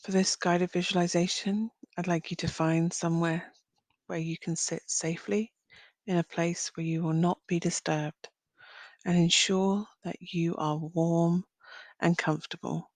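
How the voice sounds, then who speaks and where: A woman leading a guided visualisation as a meditation guide, speaking gently in short phrases with long pauses. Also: lowish voice, little pitch movement, no room sound. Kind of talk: guided visualisation, slow soothing narration.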